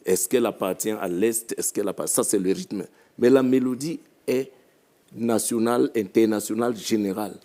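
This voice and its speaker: A man's voice: fairly low pitch, normal speed, dark tone. A man from Cameroon, a musician guest on a panel talk show, speaking reflectively and repeating his phrases.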